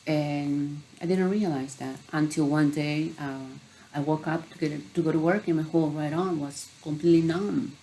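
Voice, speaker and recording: A woman's voice: sits low, fairly even intonation, normal speed, warm tone, slight background noise, low-quality recording. A middle-aged woman from Peru, a health coach, earnestly telling her own story in a personal vlog.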